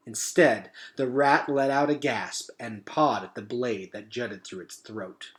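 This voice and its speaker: A man's voice: mid-range voice, fairly bright tone. A man reading a children's novel aloud as a storyteller, with dramatic, suspenseful delivery.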